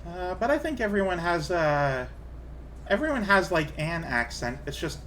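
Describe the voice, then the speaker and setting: A man's voice: sits high, very animated, moderate pace, a bit bright, slight background noise. Rambling, chatty man, light voice. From Canada. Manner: rambling, chatty, restarts a lot. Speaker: streamer. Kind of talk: casual chat while gaming.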